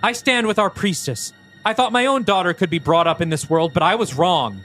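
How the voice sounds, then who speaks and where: A grieving mother pleads in a strained, lifted voice, as a man puts her on. Her lines ride far above the performer's usual pitch, with faint music beneath this spoken story. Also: fairly quick, a bit bright. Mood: defiant. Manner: pleading, raised voice. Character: grief-stricken middle-aged mother.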